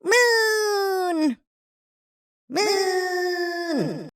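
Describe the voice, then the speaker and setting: A storyteller voicing tiny, excitable magical pony clones with a squeaky, childlike, chanting manner. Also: extremely slow, dry recording, clean studio sound, exaggerated voice. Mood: excited.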